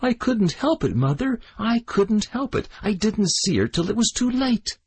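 Man putting on a frightened young boy, high, pleading, husky. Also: sing-song, normal speed, heavily acted. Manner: pleading, defensive.

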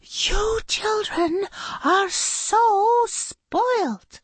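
A woman's voice: mid-range voice, animated, darkish tone, breathy edge, dry recording, pitched above the performer's own voice, full character voice. A woman voicing an exasperated, scolding woman schoolteacher in a children's story, with dramatic, emphatic delivery. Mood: outraged. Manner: dramatic, emphatic, drawn-out stressed words.

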